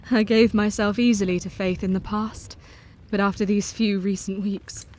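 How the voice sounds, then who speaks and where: A woman's voice: mid-range voice, steady tone, a little slow, darkish tone, natural voice. A woman playing a devout, brave young woman who narrates her story formally and earnestly. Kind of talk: audio drama narration.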